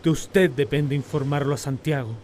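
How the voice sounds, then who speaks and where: A man playing a shaken steamship captain in a radio drama, solemnly recounting a disaster in measured, literary words. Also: fairly high pitch, steady tone, a little mellow, slight background noise, natural voice. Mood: grave, shaken.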